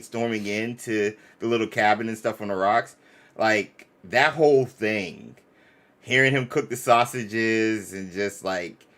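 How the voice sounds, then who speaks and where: A man's voice: mid-range voice, fairly bright tone. A man co-hosting a casual live reaction stream, enthusiastic and slangy, often repeating himself for emphasis.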